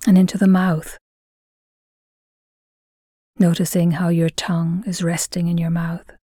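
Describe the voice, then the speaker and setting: Slow, low-pitched woman guiding meditation. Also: flat delivery, husky, dry recording, clean studio sound. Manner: gentle, soothing, measured with long pauses. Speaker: meditation guide. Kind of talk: guided mindfulness meditation narration.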